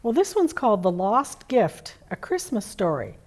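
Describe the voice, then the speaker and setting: A woman's voice: medium pitch, big pitch swings, moderate pace, darkish tone. A woman playing Santa's kindly, homely wife as a children's holiday storyteller. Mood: storytelling.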